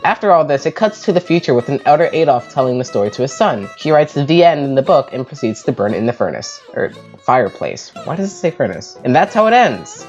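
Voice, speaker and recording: A woman's voice: extremely low pitch, a little mellow, muffled recording. A woman hosting a video review, reading scripted narration with casual asides. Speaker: host of a video review show.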